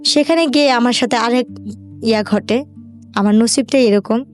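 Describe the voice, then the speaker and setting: A woman's voice: a little high, normal speed, soft background music. A young woman with a Bangladeshi accent tells her life story in an interview, colloquially, in run-on sentences with quoted conversations.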